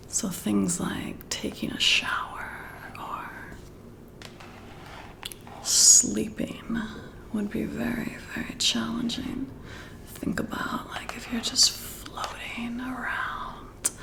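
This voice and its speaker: A woman doing soft-spoken ASMR, talking in a hushed, intimate, musing way as if chatting to one listener. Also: medium pitch, gravelly. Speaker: ASMR roleplay performer. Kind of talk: soft-spoken whispered ASMR, casual one-sided chat.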